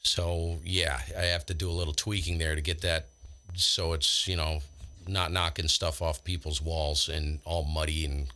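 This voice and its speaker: A man's voice: extremely low pitch, flat delivery, moderate pace, bright, forceful tone. A man chatting casually as an amateur radio operator, explaining things in an informal, rambling way. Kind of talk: casual ham radio chat.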